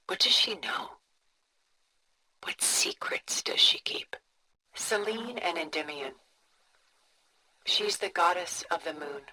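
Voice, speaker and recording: An actress playing a refined, cultured, coolly composed older woman in a video game, speaking in a poised, deliberate, articulate way. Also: low-pitched, sharp tone, full character voice, rough recording. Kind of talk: scripted video game dialogue, art commentary.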